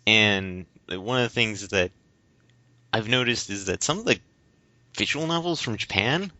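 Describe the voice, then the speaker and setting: Guy on a podcast arguing casually, keeps stumbling, bright forceful voice, dry slightly muffled audio. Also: on the low side, expressive, no echo. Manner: halting, stammering. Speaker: podcast co-host. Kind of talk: casual debate-style chat.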